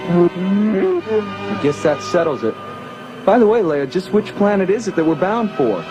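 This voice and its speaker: An actor playing an easygoing young American rebel pilot with a casual, youthful, teasing manner in an audio drama. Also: male voice, high voice, animated, measured pace, music in the background. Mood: wry.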